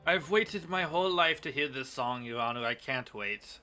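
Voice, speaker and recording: Formal, assertive courtroom prosecutor, voiced by a man, haughty, forceful tone, music behind. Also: medium pitch, lively intonation, moderate pace. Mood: dry.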